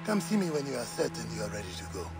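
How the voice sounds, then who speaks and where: A genial village protector, with a gravelly and lively voice. He talks warmly and teasingly as an old friend would in a video game drama, with music behind him. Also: medium pitch, rapid-fire. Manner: warm, teasing.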